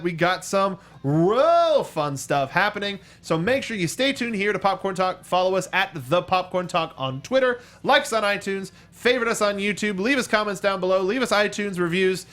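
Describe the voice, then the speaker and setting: Man, slightly high-pitched voice, review show host, casual chat, keen and informal delivery. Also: expressive, brisk, hardly any pauses, a bit bright. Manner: enthusiastic, informal.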